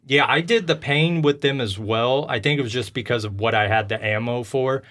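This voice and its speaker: A man's voice: medium pitch, moderate pace, a bit bright. A man talking casually and with strong opinions as a podcast host discussing video games, telling stories informally.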